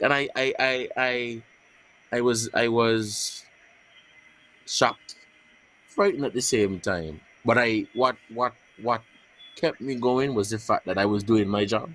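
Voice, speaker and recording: Husky deep-voiced man. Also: fairly bright tone, poor audio. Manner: measured, repeats words while searching for them. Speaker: journalist guest. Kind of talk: earnest interview answers.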